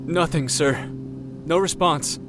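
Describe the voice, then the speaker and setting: A man's voice: on the high side, slow. A man playing a dutiful young military co-pilot, plain-spoken and deferential, in a naturalistic audio drama. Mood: uneasy. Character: young male co-pilot, dutiful, soon to be father. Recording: loud aircraft engine noise.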